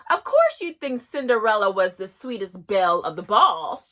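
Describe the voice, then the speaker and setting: A woman's voice: medium pitch, very animated, normal speed, sharp tone. A woman giving a chatty, enthusiastic review of children's books and reading short excerpts aloud. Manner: chatty and enthusiastic, with frequent restarts and laughs. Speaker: homeschool book reviewer. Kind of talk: casual book review with read-aloud excerpts.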